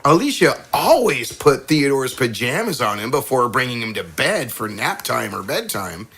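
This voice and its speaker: A man's voice: medium pitch, big pitch swings, moderate pace, fairly bright tone, slightly rough. A man narrating a mystery game as its host, in a theatrical scripted style.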